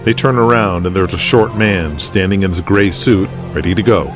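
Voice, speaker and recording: A man's voice: low-pitched, normal speed. A man giving dramatic scripted narration as the narrator of an audio drama. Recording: music under the voice. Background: music.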